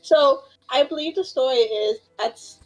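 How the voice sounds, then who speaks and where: A woman's voice: high voice, a little slow, lo-fi sound, soft background music. A young adult woman in casual gameplay chat, rambling and reacting in scattered bursts. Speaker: gaming streamer.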